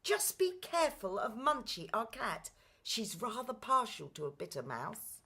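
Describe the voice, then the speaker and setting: A woman playing a sweet, cheerful, kindly young heroine in a children's radio play, with a gentle, friendly manner. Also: on the high side, very animated, measured pace, breathy edge, heavily acted. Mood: welcoming.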